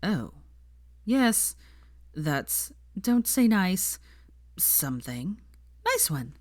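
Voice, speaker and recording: A woman voicing a mild, kindly, slightly awkward young man in an audiobook story, gentle and measured. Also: lowish voice, sing-song, dry recording, clean studio sound, full character voice. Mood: hesitant, careful. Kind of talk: fan fiction audiobook character voice.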